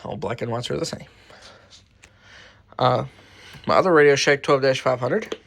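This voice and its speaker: Casual, gravelly man rambling along. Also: medium pitch, moderate pace, fairly bright tone. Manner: rambling, muttering asides, mild swearing. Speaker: radio collector and host. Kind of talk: casual show-and-tell vlog. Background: weather radios playing.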